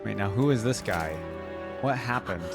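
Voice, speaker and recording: A young man gives casual reaction commentary, thinking aloud in an informal way. His pitch moves around a lot, and clear music plays behind him. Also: lively intonation, moderate pace. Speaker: reaction video host. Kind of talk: casual running commentary on videos.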